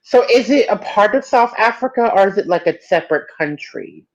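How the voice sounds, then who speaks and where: A woman chatting casually on a debate stream, asking quick curious questions. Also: mid-range voice, animated, lo-fi sound. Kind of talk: casual chat.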